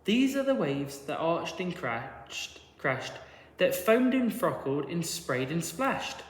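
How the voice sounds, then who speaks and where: A man with a fairly high voice reads a rhyming picture book aloud like a teacher to pupils. His narration is rhythmic, and it rings around an echoey hall with long reverb. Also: very animated, takes it slowly. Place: large reverberant indoor room.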